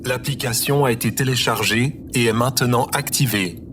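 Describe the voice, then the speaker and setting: A man voicing a literal-minded computer assistant in an audio drama, measured, formal and deadpan. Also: mid-range voice, background noise, natural voice. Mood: matter-of-fact. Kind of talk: scripted audio drama, encyclopedic announcing.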